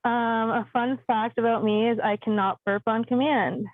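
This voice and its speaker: A woman's voice: fairly high pitch, fairly even intonation, normal speed, dry recording. Hesitant woman on a tinny call line. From Ontario, Canada. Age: 20s. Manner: hesitant, many fillers. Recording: tinny phone-like call audio.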